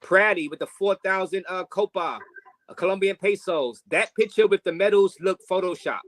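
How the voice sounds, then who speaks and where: A man's voice: high voice, normal speed, a bit bright. A man co-hosting a stream, reading out donations in a loose, offhand way. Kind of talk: casual stream talk.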